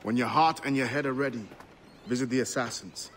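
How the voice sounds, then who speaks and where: Loyal quartermaster for a game, male actor: steady, gently wise, medium pitch, faint ambient noise. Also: brisk, close to an everyday voice. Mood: calm, fond. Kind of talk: dramatic video game acting.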